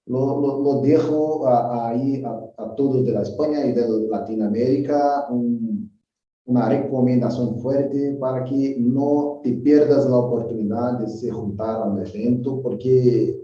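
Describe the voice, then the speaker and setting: A man with a Brazilian Portuguese accent speaking Spanish as a second language, a guest talking in a halting way, repeating words and starting lines with "entonces". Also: medium pitch, steady tone, dark tone. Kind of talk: casual interview chat. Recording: remote call, distant muffled mic, very echoey room.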